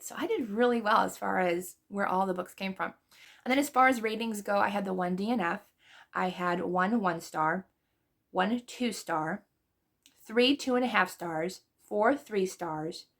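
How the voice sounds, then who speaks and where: A woman's voice: mid-range voice, gravelly, fairly bright tone. A woman book vlogger losing her voice, chatting casually through her monthly reading wrap-up with rambling asides and small laughs.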